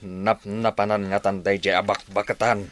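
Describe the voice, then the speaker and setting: A man's voice: lowish voice, level tone, moderate pace, fairly bright tone, no room sound, close to an everyday voice. A man with an Ilocano accent playing a stern, unforgiving village father in a radio drama, grumbling as he talks. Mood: irritated.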